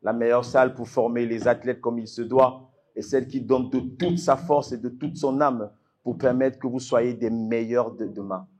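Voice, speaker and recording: A man's voice: medium pitch, steady tone, moderate pace, a little mellow, husky. A man with an Ivorian accent, working as master of ceremonies at a fight event, hyping up a live audience with emphatic, repeated phrases.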